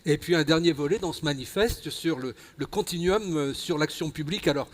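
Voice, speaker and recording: In a reverberant hall, a man with a fairly high voice and a French accent from France moderates a panel. He sums up points and prompts, restarting his phrases as he goes. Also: a little fast. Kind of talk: conference moderation, summing up and prompting. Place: convention plenary hall.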